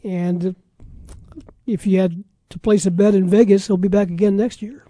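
A man's voice: high voice, level tone, moderate pace, mellow, no echo. A man with an American accent hosting casual sports talk, running through scores in a conversational, matter-of-fact way. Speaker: sports radio talk show host.

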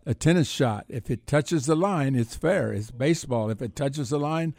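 An American man chats about sports as a radio host. His delivery is halting, circling back to repeat and rephrase words. The sound is dry, with very little room on it. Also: medium pitch, vocal fry, a little mellow, no room sound. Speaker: sports talk show host. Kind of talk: casual sports chat.

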